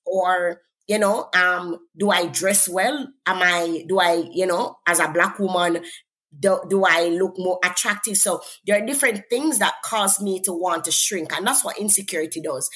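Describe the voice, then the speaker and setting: A woman's voice: fairly low pitch, moderate pace, a bit bright, breathy edge. A woman with a Jamaican accent, a guest author talking earnestly about faith and purpose in a preacherly way, often checking in with "right?". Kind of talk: conversational faith interview.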